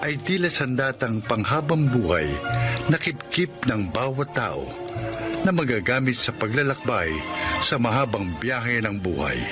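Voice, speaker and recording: A man narrates a radio drama with solemn deliberation and very slow pacing. His medium-pitched voice moves expressively, with music clearly behind it.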